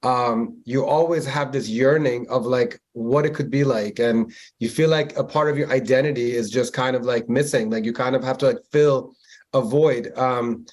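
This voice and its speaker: A man in his forties, born in Germany to a Persian family, answering interview questions in a measured, reflective way with frequent filler words. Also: mid-range voice, fairly even intonation, a little mellow. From Germany, of Persian family, moved to the US at ten. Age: 40s. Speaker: podcast guest, community organizer. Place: Miami.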